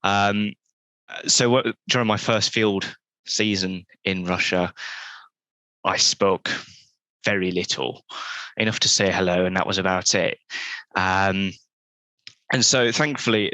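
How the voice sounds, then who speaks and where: A man's voice: low-pitched, fairly even intonation, unhurried, bright, forceful tone, no room sound, rough recording. A man on a video call, a researcher giving an earnest, unscripted panel answer with frequent ums and restarts.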